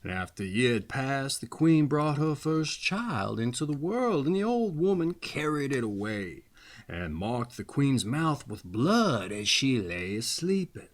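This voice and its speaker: A man reading a fairy tale aloud as a storyteller, with expressive, theatrical narration. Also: mid-range voice.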